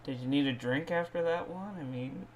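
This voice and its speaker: A man with a slightly high-pitched voice banters casually on a podcast. He is brash and swears freely, telling stories with animated emphasis. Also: normal speed, a little hiss or hum, rough recording. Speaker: podcast co-host.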